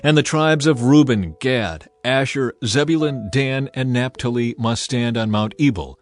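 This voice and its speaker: A man voicing a solemn, commanding prophet addressing his people in a dramatized scripture reading, declamatory and deliberate. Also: medium pitch, lightly acted. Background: music behind the voice.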